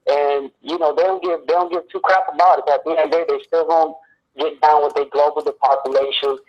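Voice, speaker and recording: Male caller, earnest and preachy, slightly high, muffled phone line. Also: fairly even intonation, quick pace. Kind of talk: phone-in monologue.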